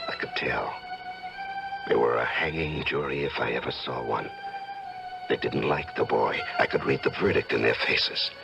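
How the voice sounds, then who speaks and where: Deep, gravelly male judge, calculating and authoritative, widely swooping delivery, old-time radio drama. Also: heavily acted, poor audio. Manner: theatrical, authoritative. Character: secretly murderous male judge, composed, calculating, self-satisfied. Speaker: actor.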